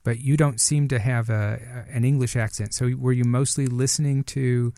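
A man's voice: mid-range voice, fairly even intonation, normal speed, mellow, no echo. A middle-aged man hosting an interview, asking curious, friendly questions. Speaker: podcast host.

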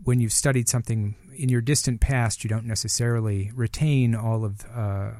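A middle-aged man hosting a conversational interview, phrasing his questions in a measured, hesitant way with repeated words. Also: mid-range voice, fairly even intonation, warm tone, no echo, clean studio sound. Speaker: radio interview host.

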